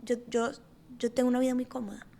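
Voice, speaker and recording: A woman's voice: a little high, flat delivery, fast talker, creaky, dark tone. A young Colombian woman with a Colombian accent, speaking as an interview guest in an emotive, heartfelt, conversational way. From Colombia, Bogotá and Cali. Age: young adult. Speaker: podcast guest.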